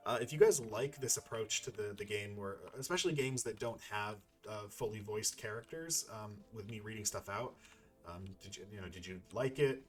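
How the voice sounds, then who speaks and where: A man giving casual gameplay commentary as a playthrough host, rambling and thinking aloud as he goes. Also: fairly low pitch. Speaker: video game playthrough host.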